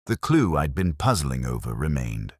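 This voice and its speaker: A man reading a scripted first-person literary detective story in a measured, melancholy, reflective manner. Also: extremely low pitch, sing-song, husky, no room sound, clean studio sound.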